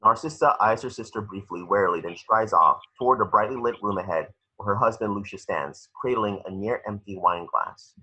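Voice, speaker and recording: A man narrating stage directions for a script table read, in measured, dramatic short phrases. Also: lowish voice, sharp tone, slightly rough, rough recording.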